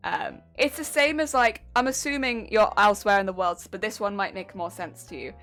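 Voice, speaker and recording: A woman's voice: mid-range voice, a little fast, fairly bright tone, faint music behind. A young woman with an English accent, chatting casually on a live stream as she answers chat questions and critiques her own sewing. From England.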